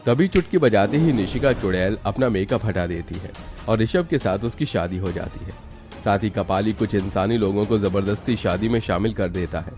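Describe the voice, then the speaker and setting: A man's voice: fairly low pitch, normal speed, a little mellow, soft background music. A man narrating a scripted cartoon story as its storyteller.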